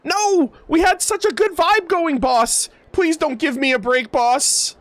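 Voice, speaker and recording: A man's voice: very high voice, measured pace, fairly bright tone, dry recording, voice pushed up. A man voicing a timid, overworked young female guild worker in a video game, stammering and pleading. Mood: whining, pleading.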